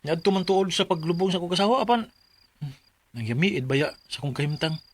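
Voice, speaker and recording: A man's voice: high-pitched, a little fast. A man acting a humble, proud widowed father of five in a Bisaya radio drama, speaking in a plaintive, earnest way with a Filipino Bisaya accent. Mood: wounded pride.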